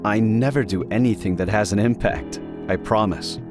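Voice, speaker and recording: Man acting a wary high elf over music. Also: normal speed, lightly acted. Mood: dismissive. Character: modest, wary high elf man, a god's mortal son. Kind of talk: fantasy audio drama acting.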